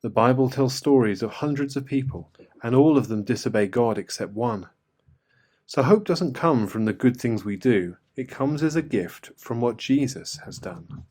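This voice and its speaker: A man's voice: medium pitch, expressive, moderate pace, a little mellow, close to an everyday voice. A man voicing a wise, friendly talking cat in a children's storybook, kindly explaining.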